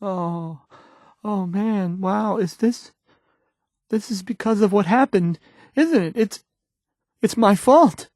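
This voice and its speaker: Halting, nervous young radio DJ. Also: male voice, high voice, a little mellow, no room sound, studio quality. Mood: anxious, flustered. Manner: stammering, hesitant, unsure. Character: timid, nervous, awkward young male radio DJ. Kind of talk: video game character acting.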